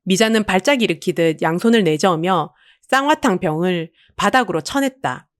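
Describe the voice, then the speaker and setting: A woman's voice: on the low side, expressive, normal speed, dry recording, studio quality. A woman reading a scripted story as a dramatic audiobook storyteller.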